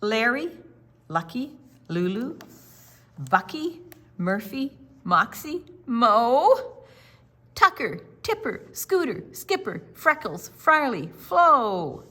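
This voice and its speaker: A woman's voice: on the high side, big pitch swings, slow, pushed and bright. A woman reading a picture book aloud to young children, expressively, with emphatic words and little explanations along the way. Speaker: children's storytime reader.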